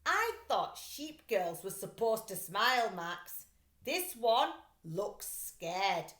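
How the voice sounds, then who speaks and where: A woman's voice: medium pitch, big pitch swings, slow, a bit bright. A woman voicing a brash, self-satisfied male art thief in a showy storybook manner. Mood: puzzled. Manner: showy, boastful. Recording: roomy echo.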